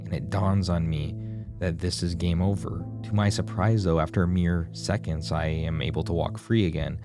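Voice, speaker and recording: Man, low-pitched voice, ominous scripted horror narration, dramatic storyteller delivery, prominent music behind. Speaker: video essay narrator.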